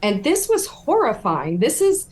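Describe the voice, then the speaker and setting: A woman's voice: on the high side, huge pitch swings, a little slow, darkish tone, a little hiss or hum. A middle-aged woman, a guest telling her account earnestly and emphatically over a video call from a room at home. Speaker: whistleblower guest.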